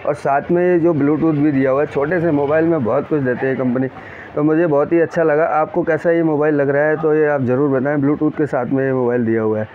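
A man hosting a casual, unscripted unboxing video, talking directly to viewers and often repeating himself as he explains the product. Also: on the high side. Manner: repetitive, addresses viewers directly. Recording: dull lo-fi audio, clear ambient noise.

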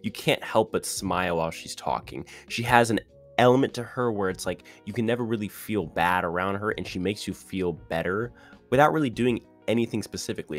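A man giving opinionated, ranting commentary on a reality show contestant in a casual monologue. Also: sits low. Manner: opinionated, ranting, quick with restarts.

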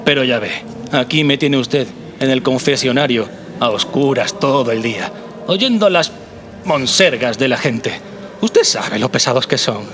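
Guy doing a theatrical wheedling priest, comic radio sketch, really animated, bit of studio noise. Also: medium pitch, a little slow, close to an everyday voice. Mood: self-pitying. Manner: theatrical, sarcastic, swooping delivery. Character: exasperated, wheedling male priest in a confessional. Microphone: stand microphone. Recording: TV studio with faint background noise.

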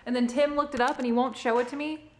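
Young woman, giggly, excitable, higher voice, home vlog, handheld camera. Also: quick pace, reverberant. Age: young adult. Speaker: vlog co-host. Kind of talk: casual chat. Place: home kitchen and living room, indoors.

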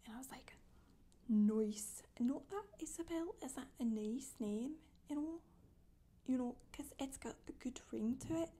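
Soft-spoken Scottish witch, female performer, chatty ASMR storyteller, higher-pitched but husky-breathy, deadpan. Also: unhurried, exaggerated voice. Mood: casual, confiding. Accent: put-on Scottish. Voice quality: breathy, whispery. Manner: hesitant, colloquial, deadpan. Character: chatty, casual Scottish witch recounting her misdeeds.

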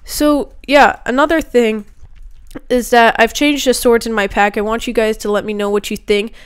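A woman's voice: on the high side, fairly even intonation, moderate pace, clean tone. A young woman with a cold chatting casually over her game, rambling with lots of fillers. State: sick with a cold, voice a bit rough. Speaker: gaming youtuber. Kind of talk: casual gameplay commentary.

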